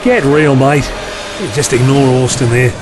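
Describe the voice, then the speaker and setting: A man with an Australian accent acting a gruff, mocking desert bar owner in an audio drama, blunt and slangy. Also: medium pitch, normal speed, lightly acted. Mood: sardonic, blunt. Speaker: actor. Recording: loud music bed behind the voice.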